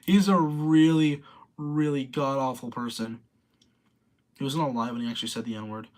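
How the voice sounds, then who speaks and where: A man's voice: mid-range voice, steady tone, moderate pace, darkish tone. A young man in his late teens, casually rambling through commentary and reading viewer comments aloud. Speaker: streamer reading chat.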